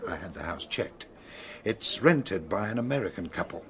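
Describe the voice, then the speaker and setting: A man playing a brisk, no-nonsense police detective with a British accent in a radio drama, businesslike and blunt. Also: sits low, lively intonation, crowd noise.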